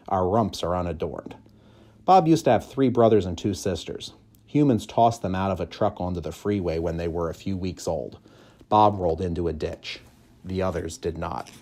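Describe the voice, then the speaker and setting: Low, mellow man reading a story. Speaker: audiobook reader, school staff member. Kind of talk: expressive storybook narration, first person.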